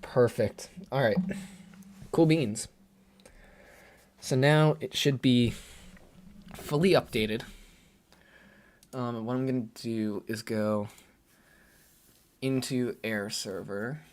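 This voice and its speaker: A man live-streaming a game, chatting casually to his viewers with a slightly hoarse voice and lots of fillers as he thinks aloud. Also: medium pitch, slow pace, pauses often, a little mellow.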